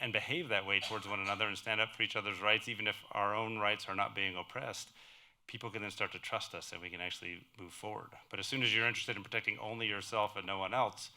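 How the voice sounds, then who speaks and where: Male moderator, mid pitch, very bright tense tone, casual asides. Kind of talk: moderating a panel. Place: conference panel before an audience.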